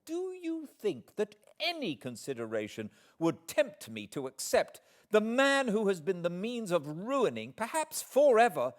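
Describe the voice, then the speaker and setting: A man voicing a spirited, indignant young English gentlewoman with an emphatic, articulate, accusing delivery in an audiobook. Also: high-pitched, voice pushed up, full character voice. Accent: British.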